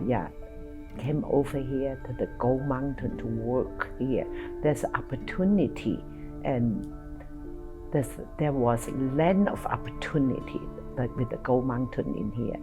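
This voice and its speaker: Older woman, halting speech. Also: extremely low pitch, vocal fry. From China. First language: Toisanese. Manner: halting, searching for words. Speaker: interviewee. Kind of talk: documentary interview.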